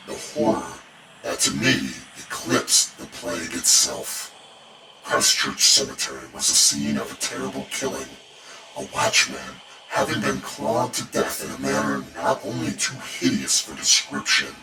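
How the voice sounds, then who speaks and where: Male horror narrator, extremely low register, dramatic and ominous delivery, set back from the mic in a roomy echo. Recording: roomy echo, distant, music behind. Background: music bed.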